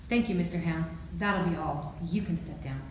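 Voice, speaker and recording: A woman's voice: fairly low pitch, natural voice. Woman acting as stern prosecutor in echoey room. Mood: formal. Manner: formal, measured, accusatory questioning. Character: stern, formal female courtroom prosecutor. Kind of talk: amateur student film acting. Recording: very echoey room, distant mic, faint noise.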